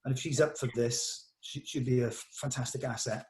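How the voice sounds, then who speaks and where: A man's voice: mid-range voice, fairly even intonation, moderate pace, a little mellow, a little hiss or hum. A man talking informally in a production meeting, explaining things in a hesitant, thinking-aloud way.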